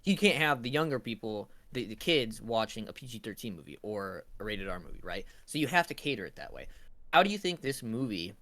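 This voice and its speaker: A man's voice: lowish voice, sing-song, brisk, a bit bright, no echo. A man co-hosting a casual review discussion, explaining his points and often checking in with the listener. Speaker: co-host asking questions.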